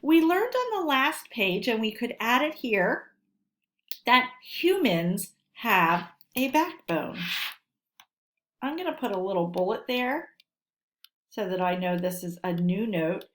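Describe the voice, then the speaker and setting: A woman teacher reading a lesson aloud to children, speaking deliberately and instructively and stressing key words. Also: a little high, big pitch swings, a bit bright. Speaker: elementary school teacher.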